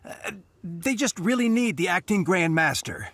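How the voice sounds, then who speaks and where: An actor playing a nervous young knight who stammers and fumbles for words, with a husky voice. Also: male voice, sits high, animated, normal speed, a bit bright, dry recording, exaggerated voice. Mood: flustered. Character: flustered young male knight messenger.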